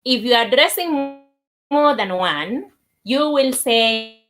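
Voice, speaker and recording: A woman giving a language lesson, deliberately repeating words for learners. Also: on the high side, expressive, a bit bright. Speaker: language teacher. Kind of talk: language lesson, demonstrating greetings.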